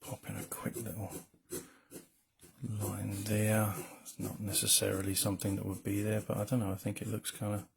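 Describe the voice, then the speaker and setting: A man with a low, mumbling voice gives casual, rambling commentary while drawing on a livestream as a comic colorist, with self-deprecating asides. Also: monotone, normal speed, vocal fry, crowd noise.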